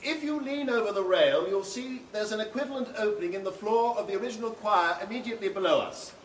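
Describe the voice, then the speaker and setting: An actor as a high-voiced, reluctant British novelist. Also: male voice, fast, roomy sound, a little hiss or hum, lightly acted, low-quality recording. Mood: reluctant, explaining. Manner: diffident, clipped upper-class understatement. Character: reluctant, irritable male novelist, diffident and dry. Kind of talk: British radio drama acting.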